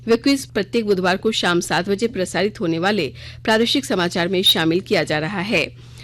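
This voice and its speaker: A woman news reader delivering a scripted radio bulletin in a formal news-reading style. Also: mid-range voice.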